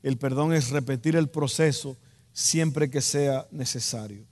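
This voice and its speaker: A man's voice: medium pitch, unhurried, darkish tone. A man with a Dominican Spanish accent preaches a sermon, emphatic and direct, repeating key phrases for weight. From Dominican Republic. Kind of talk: sermon to a congregation. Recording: long hall reverb.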